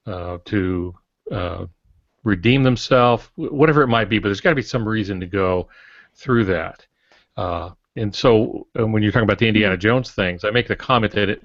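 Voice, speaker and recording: An older man explaining things in a halting, digressive way as a co-host in a panel discussion. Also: fairly low pitch, a bit bright. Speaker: podcast co-host, writing instructor. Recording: muffled, roomy lo-fi podcast audio.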